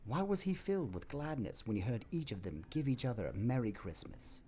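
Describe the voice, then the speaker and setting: A man narrating a classic tale for an audio play, with expressive, measured phrasing and dramatic pauses. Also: medium pitch, a little hiss or hum. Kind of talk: dramatic scripted storybook narration.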